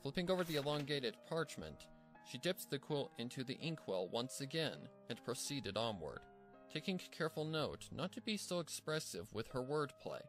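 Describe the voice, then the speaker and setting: Expressive man narrating a story. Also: mid-range voice, normal speed, faint music behind.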